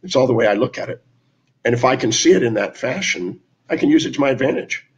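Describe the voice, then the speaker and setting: Hoarse male speaker, husky, recounting client stories in a motivational talk. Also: medium pitch, animated, quick pace, highs cut off. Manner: storytelling, quoting clients in dialogue. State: hoarse, raspy today. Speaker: speaker on his live stream.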